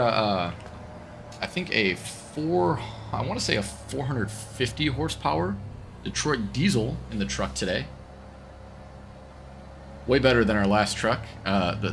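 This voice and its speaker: Stuffy-nosed guy with a deep voice chatting along while he plays, bit of hiss behind. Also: fairly bright tone. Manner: rambling, self-correcting, easygoing. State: congested from a cold. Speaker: streamer. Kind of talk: casual gameplay commentary.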